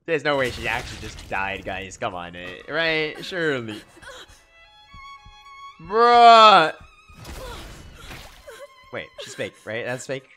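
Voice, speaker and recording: A young man streaming live reactions to game cutscenes in casual slang, excitable and exclamatory. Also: a little high. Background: game music.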